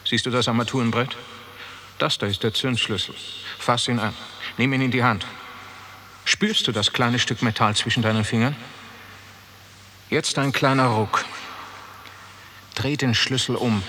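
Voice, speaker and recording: A cynical officer in a 1960s radio drama. His voice is bright and hard, smug and coaxing as he gives orders. The recording has clear background noise. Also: medium pitch, unhurried, long pauses. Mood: coldly coaxing. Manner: smug, coaxing, matter-of-fact about killing. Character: cold, cynical male camp officer, proud and commanding.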